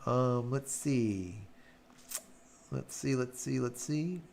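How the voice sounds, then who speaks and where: Male live-stream host, raspy and gravelly, loose rambling delivery with fillers. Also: mid-range voice, slow, a little mellow, slight background noise. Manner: rambling, filler-heavy, hums while scrolling. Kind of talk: casual live-stream chat reading viewer comments.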